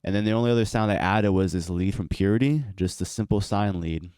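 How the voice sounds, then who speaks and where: A young man talks through his beat-making process in a relaxed, explaining way. His voice sits very low and sounds stuffy and congested from allergies. The sound is smooth and close, with no room echo. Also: a little fast. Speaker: beat producer and channel host. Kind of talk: casual tutorial walkthrough.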